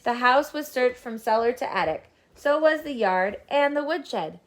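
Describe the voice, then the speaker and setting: High-voiced woman reading a children's story. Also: animated, measured pace. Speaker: storybook reader. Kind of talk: expressive scripted children's story narration.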